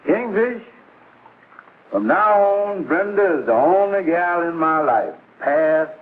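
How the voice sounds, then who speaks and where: An actor playing a gullible, lovestruck middle-aged bachelor, with a folksy, musing delivery in 1950s American sitcom style. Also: male voice, sits high, lively intonation. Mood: nostalgic. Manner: folksy, ungrammatical, drawn-out musing. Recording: tinny, noisy vintage television soundtrack.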